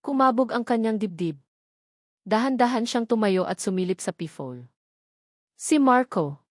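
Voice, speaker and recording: A woman's voice: fairly high pitch, animated, normal speed, dry recording, studio quality. A woman reading a scripted, dramatic story as its narrator.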